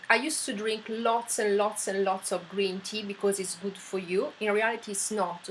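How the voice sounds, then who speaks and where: A woman's voice: mid-range voice, fairly bright tone, slight background noise, rough recording. A middle-aged woman from Italy with an Italian accent, telling her personal story in a chatty, emphatic way. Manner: chatty, many fillers, emphatic words. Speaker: vlogger.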